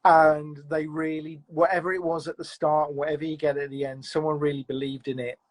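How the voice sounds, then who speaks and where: A man's voice: on the high side, flat delivery, brisk. A man being interviewed about producing audio fiction, talking in a reflective, rambling way with lots of "you know"s and restarts. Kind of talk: casual interview chat.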